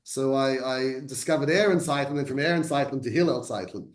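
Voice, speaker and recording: A man's voice: medium pitch, a little fast, echoey room. A man, a translator speaking as a guest over a video call, self-deprecating with many restarts. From Australia. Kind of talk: conversational talk.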